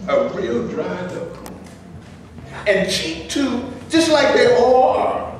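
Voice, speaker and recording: A man's voice: high-pitched, big pitch swings, takes it slowly, heavily acted. A man acting a frustrated but cautious union-minded film actor in a staged play reading, talking in a colloquial, explanatory way. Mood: bitter reminiscing. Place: echoey room before a live audience. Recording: echoey room with noise, live audience recording.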